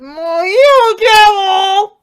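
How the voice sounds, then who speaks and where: Woman acting a very high-voiced, dramatic young lady. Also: expressive, drawn-out words, full character voice. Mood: indignant, defiant. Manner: dramatic, exclamatory. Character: indignant, frightened but defiant young society woman. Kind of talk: old-time radio crime drama acting.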